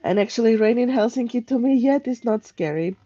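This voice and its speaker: A middle-aged woman from Armenia, hosting a casual live stream from home and chatting in a halting, rambling, conversational way. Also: on the high side, animated, dark tone, no echo, dull audio. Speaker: live stream host, travel tips. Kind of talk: casual unscripted livestream chat. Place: at home, indoors.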